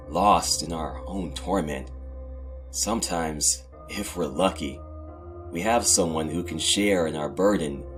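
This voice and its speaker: A grief-stricken young man played by a male narrator tells his own tragedy in a low voice. The delivery is brooding and dramatic, in the style of a horror audio drama, with soft music underneath.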